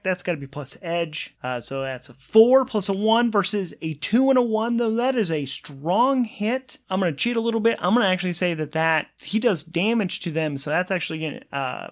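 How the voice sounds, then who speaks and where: Guy w a slightly high voice doing solo rpg play by play, casual, thinking out loud, kinda excited. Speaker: solo game master and player. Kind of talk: casual solo tabletop game narration, thinking aloud.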